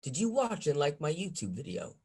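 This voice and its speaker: A man voicing a pushy, sinister high priestess witch with a sweetly imperious manner in a script table read. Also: wildly swooping pitch, moderate pace, dark tone, slightly rough, heavily acted. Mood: reproachful.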